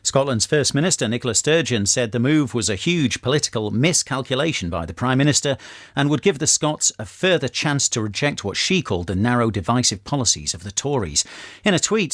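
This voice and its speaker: A man reads radio news from a script. His medium-pitched voice moves over a lively range, recorded close and dry with no room sound. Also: no echo. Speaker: radio newsreader. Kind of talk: scripted news bulletin reading.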